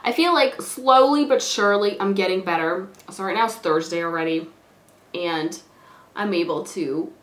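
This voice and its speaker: A hoarse, stuffed-up young woman who is sick with a cold, chatting casually and rambling with lots of fillers while doing her makeup. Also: mid-range voice, normal speed. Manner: rambling, filler-heavy, lots of "like" and "you know". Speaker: beauty vlogger. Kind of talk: casual chatty get-ready-with-me talk. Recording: echoey room.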